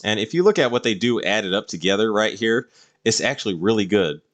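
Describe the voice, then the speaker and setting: A man's voice: fairly low pitch, expressive, fairly quick. A man doing casual live-stream game commentary, explaining things to viewers in a rambling way.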